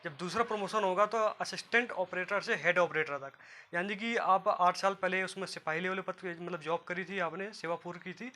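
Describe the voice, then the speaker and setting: A man's voice: sits high, pushed and bright, slight background noise. A man giving an informal explainer to viewers, going step by step, repeating himself and addressing listeners as friends. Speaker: presenter of an exam and career guidance video.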